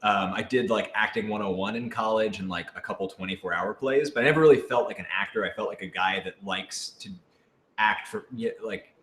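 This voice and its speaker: A man chatting casually on a question-and-answer panel as a voice actor, animated and self-deprecating. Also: on the low side, a little fast. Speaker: voice actor in an audio drama. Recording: roomy echo with clear background noise.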